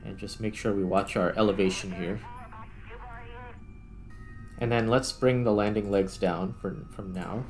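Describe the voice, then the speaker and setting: A man's voice: fairly low pitch, steady tone, measured pace, long pauses, faint music behind. A man giving casual gameplay commentary, thinking aloud in a tentative, self-questioning way as he narrates each step. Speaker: gaming video host.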